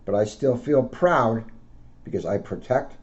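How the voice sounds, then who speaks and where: A man, a police officer, reading aloud to children in simple, deliberate phrases. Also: medium pitch, expressive. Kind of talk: read-aloud storytelling with casual asides. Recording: dull lo-fi sound.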